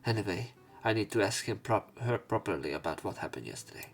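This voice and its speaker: A man with a fairly low voice narrates a visual novel as a let's play. He reads story and dialogue aloud a little haltingly and corrects himself. Faint game music plays underneath. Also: expressive.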